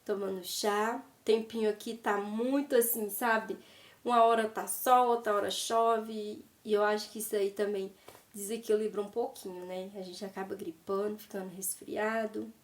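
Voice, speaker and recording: A woman's voice: mid-range voice, steady tone, normal speed. A Brazilian woman vlogging casually about fashion, a bit congested from a cold, chatty and tagging her sentences for viewers, at home by her wardrobe. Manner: chatty, tags sentences with "né?" and addresses viewers as "gente". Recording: roomy, distant from the mic.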